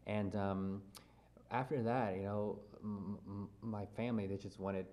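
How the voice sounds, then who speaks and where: Deep-voiced man telling his family story. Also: a little slow. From grew up in Louisiana, USA. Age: 30s. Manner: relaxed, thoughtful, many ums and restarts. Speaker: interview guest. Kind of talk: casual interview.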